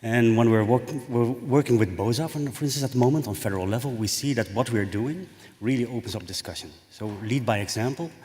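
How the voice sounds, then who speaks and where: A man's voice: medium pitch, fast, few pauses, darkish tone. A man on a conference panel answering a question with brief, plain advice. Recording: long reverb of a large conference hall.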